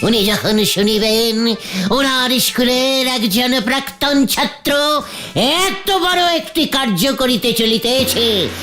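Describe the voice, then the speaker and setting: A woman's voice: high-pitched, takes it slowly, fairly bright tone. A woman voicing a boastful male slacker who leads idle village boys in a cartoon, speaking pompously with stilted formal turns. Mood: gleeful, boastful. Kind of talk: exaggerated comic cartoon acting.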